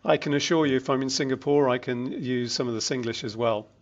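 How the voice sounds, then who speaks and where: Soft-spoken British man, muffled audio. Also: medium pitch. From United Kingdom. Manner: measured, frequent ums and self-restarts. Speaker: interviewee, regional executive. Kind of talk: casual interview. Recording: muffled lo-fi recording.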